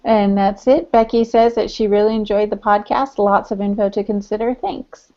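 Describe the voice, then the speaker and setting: A woman's voice: mid-range voice, steady tone, moderate pace, dark tone. A woman co-hosting a podcast, chatting casually and informally. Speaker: podcast co-host. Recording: dull lo-fi audio.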